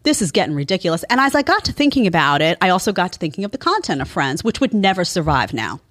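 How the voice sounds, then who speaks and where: A woman's voice: lowish voice, big pitch swings, quick pace, fairly bright tone. A woman hosting an opinion show, delivering a sarcastic, incredulous, emphatic monologue. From Italian family background. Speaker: show host.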